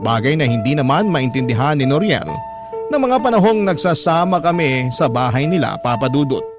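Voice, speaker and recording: A man's voice: fairly high pitch, expressive, moderate pace. A man with a Filipino accent reading a letter as dramatic, scripted radio storytelling. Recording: prominent music bed under voice. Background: music.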